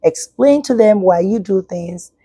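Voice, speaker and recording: A woman's voice: medium pitch, expressive, unhurried, warm tone, breathy edge, no echo, studio quality. A middle-aged woman from Nigeria whose first language is Yoruba, speaking as an educator guest in an interview and giving earnest advice to parents. She repeats her points for emphasis.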